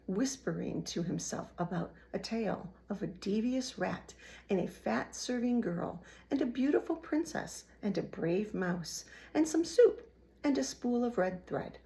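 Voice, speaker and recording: Lady reading a kids book aloud, slow, lively, little creaky. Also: mid-range voice. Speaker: children's book reader. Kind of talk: expressive storybook read-aloud.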